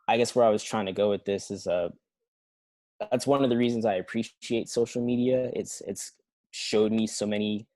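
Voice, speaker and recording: Flat low-voiced young man, hesitant. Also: no echo. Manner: reflective, hesitant, many ums and likes. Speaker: participant. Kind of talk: casual group discussion.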